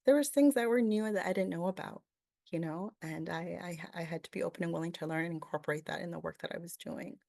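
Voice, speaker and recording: A woman's voice: lowish voice, fast talker, vocal fry, warm tone, no room sound, studio quality. A woman from Peru giving a webinar presentation, thoughtful and full of fillers, often asking "right?".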